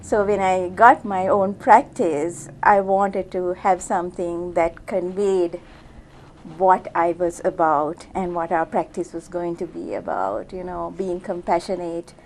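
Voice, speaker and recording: A woman's voice: on the low side, unhurried, darkish tone, slight background noise, low-quality recording. Chatty woman doctor from Sri Lanka. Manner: chatty, many fillers and restarts. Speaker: physician guest. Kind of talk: casual interview answers.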